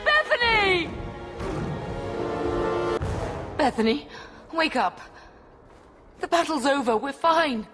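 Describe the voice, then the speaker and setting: A woman's voice: sits high, big pitch swings, measured pace. An actress playing a distraught middle-aged mother in a video game, pleading and anguished with grief. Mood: desperate, pleading. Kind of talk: dramatic video game acting. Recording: music behind the voice.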